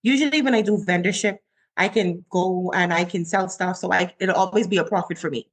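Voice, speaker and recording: A woman in her forties from the Bahamas, a chef telling her story in a casual interview and acting out her own quotes. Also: brisk. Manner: animated, acts out her own quotes with rising pitch. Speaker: chef, guest.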